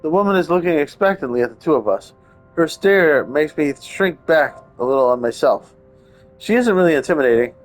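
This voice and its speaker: A man's voice: fairly high pitch, expressive, brisk. A man doing casual let's-play commentary and reading narration aloud, with wry, sarcastic asides. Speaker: game streamer playing a visual novel. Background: game background music.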